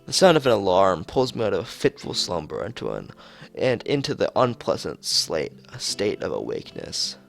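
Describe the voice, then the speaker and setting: Low, creaky-voiced man reading aloud. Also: big pitch swings. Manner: halting reading with stumbles and repeated phrases. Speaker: streamer playing and reading aloud a visual novel. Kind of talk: reading a game script aloud with brief casual asides.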